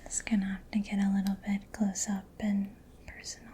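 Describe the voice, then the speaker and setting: A woman whispering breathily in a gentle, reassuring, unhurried ASMR roleplay as a mechanic. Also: mid-range voice, monotone, slight background noise. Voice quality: breathy whispering, mostly unvoiced. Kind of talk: soft-spoken ASMR personal attention roleplay.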